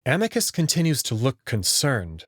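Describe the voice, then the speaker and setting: A man's voice: mid-range voice, huge pitch swings, normal speed, a bit bright, slightly rough, no room sound, clean studio sound, heavily acted. A man playing an anxious, skeptical young human hero who narrates his own story in a visual novel, in a plain, casual, wry way. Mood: uneasy, reflective. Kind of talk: first-person visual novel narration and dialogue.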